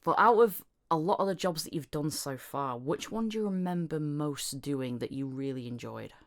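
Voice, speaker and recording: A woman hosting a casual podcast, her voice sitting on the low side. She talks in a lively way, laughs often, and slips into little acted-out quotes. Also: moderate pace. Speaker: podcast host.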